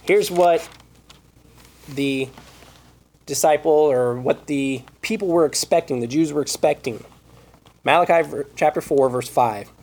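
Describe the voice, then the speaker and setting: A man preaching a Bible study sermon, reading scripture aloud and asking rhetorical questions, with his voice going hoarse. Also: a little high, measured pace, pauses often, a little mellow. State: losing his voice.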